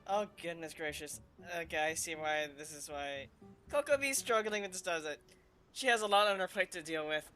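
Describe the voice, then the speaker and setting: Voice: mid-range voice, animated, normal speed, bright, forceful tone, soft background music. A game streamer giving casual, mumbled commentary over gameplay. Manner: mumbling asides.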